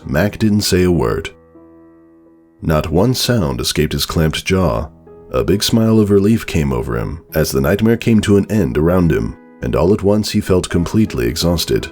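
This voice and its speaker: A man's voice: deep voice, a little mellow. A man narrating a story as a dramatic, scripted reading. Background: background music.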